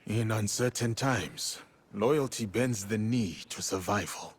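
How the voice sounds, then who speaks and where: An actor playing a stoic, honourable warrior of feudal Japan in a video game, speaking in measured, formal phrases. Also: male voice, on the low side, breathy edge. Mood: formal, respectful. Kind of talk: video game cutscene acting.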